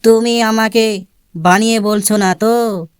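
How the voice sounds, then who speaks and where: Woman as young cartoon village lad, smooth clear voice, unhurried, naive, wide-eyed, questioning. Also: on the high side, fairly even intonation, no echo, studio quality. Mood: doubtful. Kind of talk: comic cartoon dialogue.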